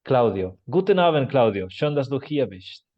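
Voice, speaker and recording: A man hosting a podcast and interviewing a guest over a video call, in a measured, welcoming manner. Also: medium pitch, sing-song. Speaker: podcast host. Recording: dull, lo-fi sound.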